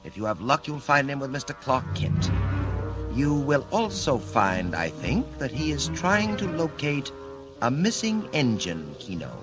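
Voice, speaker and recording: An actor playing a smug criminal mastermind who gives orders in a precise, sardonic way, in the theatrical style of a 1940s radio serial. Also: male voice, mid-range voice, big pitch swings, measured pace. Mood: smug, scheming. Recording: vintage 1940s radio recording, loud music behind.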